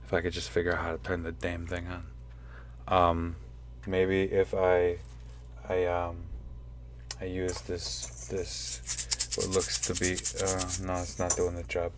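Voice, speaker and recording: An actor playing a bumbling, proud scientist with halting, stammering delivery in a comedic radio play. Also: male voice, deep voice, monotone, a little hiss or hum. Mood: proud, weary. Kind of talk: comedic radio theatre acting.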